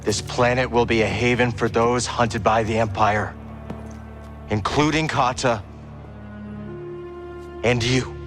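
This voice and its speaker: An actor playing a steady, resolute rebel hero in a video game, firm and earnest. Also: male voice, mid-range voice, slow pace, pauses often, over music, lightly acted. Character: steady, resolute heroic rebel offering mercy. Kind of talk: dramatic video game cutscene acting.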